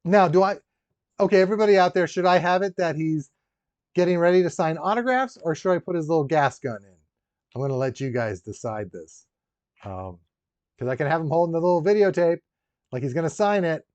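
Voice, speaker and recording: Guy on a toy collector stream, kinda higher voice, rambly and jokey, chatting w viewers, echoey room. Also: animated, brisk, a little mellow, clear, resonant tone. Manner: rambling, joking asides with dry teasing. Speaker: live stream host, toy collector unboxing a figure. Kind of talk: casual live-stream chat, reacting to viewers. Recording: roomy echo, dull lo-fi sound.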